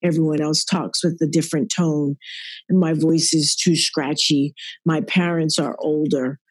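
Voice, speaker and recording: A middle-aged woman with a deep, raspy voice, a podcast guest speaking earnestly about her faith and growing up. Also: fairly even intonation, a little slow, no echo. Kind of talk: reflective podcast interview. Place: at work.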